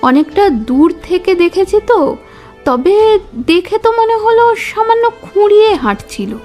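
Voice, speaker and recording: A woman's voice: very high voice, big pitch swings, a little slow, darkish tone, exaggerated voice. A woman playing a watchful young neighbour giving careful, earnest witness testimony in an audio story. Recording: music bed under voice.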